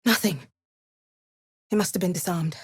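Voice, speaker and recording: Measured, watchful female fantasy game adventurer. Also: fairly low pitch, breathy edge, dry recording, studio quality. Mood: observant, wry. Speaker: voice actor for a player character. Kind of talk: fantasy video game voice acting.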